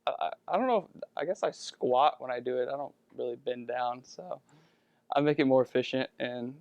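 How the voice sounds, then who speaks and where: A young man answering interview questions in a hesitant, halting, casual way. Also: medium pitch, gravelly, a little mellow, clean tone, dry recording, muffled recording. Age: young adult. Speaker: college basketball player.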